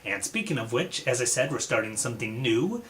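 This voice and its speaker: Man, mid-pitched voice, casual reaction commentary, conversational host. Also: big pitch swings, fairly quick, fairly bright tone, slight background noise.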